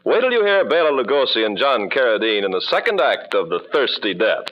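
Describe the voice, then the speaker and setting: A man's voice: medium pitch, sing-song, brisk. A man hosting an old radio show in a theatrical, spooky, teasing style. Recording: dull old band-limited radio recording.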